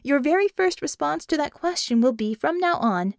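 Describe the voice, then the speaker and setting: A woman's voice: sits high, animated, normal speed, vocal fry, no room sound, pitched above the performer's own voice. A woman voicing a female leader of heroes in an audiobook, authoritative and dryly humoured. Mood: commanding. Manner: dry, authoritative.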